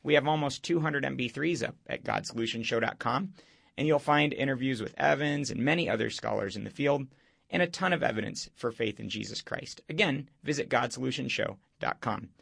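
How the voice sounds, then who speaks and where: A male radio show host, hoarse this morning, speaking in an earnest, preaching way. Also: medium pitch, a little fast, fairly bright tone, no room sound, studio quality. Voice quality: gravelly. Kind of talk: evangelistic radio talk.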